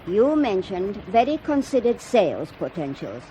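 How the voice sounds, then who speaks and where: A woman's voice: medium pitch, sing-song, normal speed, mellow, a little hiss or hum, natural voice, low-quality recording. An actress playing a polite, persistent woman radio reporter in a radio drama. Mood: polite, insistent.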